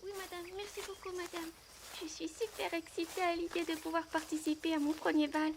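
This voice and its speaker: A woman's voice: extremely high pitch, level tone, fairly quick, background noise. A woman playing a young, eager, polite maid in a French roleplay audio drama, hesitant and apologetic, with a stammering formal manner. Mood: excited, polite.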